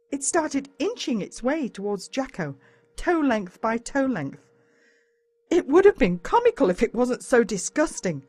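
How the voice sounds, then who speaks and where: An Australian woman reads a children's audiobook with dramatic, expressive delivery. Her pitch swings widely from line to line. The recording is close and very dry. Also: dry recording. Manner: dramatic, animated storytelling. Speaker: audiobook narrator. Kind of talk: expressive children's story narration in first person.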